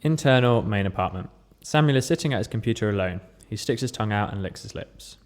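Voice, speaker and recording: A man's voice: fairly low pitch, lively intonation, quick pace, natural voice. A man reading stage directions as scripted narration for a sitcom table read. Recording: reverberant hall sound.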